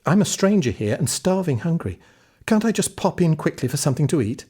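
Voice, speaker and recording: An eager young country boy from a fairy tale, voiced by a male narrator. He sits a little higher in pitch, with a husky edge. His manner is earnest and boyish. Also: big pitch swings, a little fast, mellow. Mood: pleading. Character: eager, cheeky poor country boy Jack.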